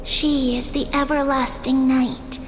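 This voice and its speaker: An actress playing a solemn young priestess in a fantasy game, reciting in a ceremonial, incantatory way. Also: female voice, high voice, flat delivery, slow pace, a little hiss or hum. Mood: solemn, reverent. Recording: long hall reverb with music behind.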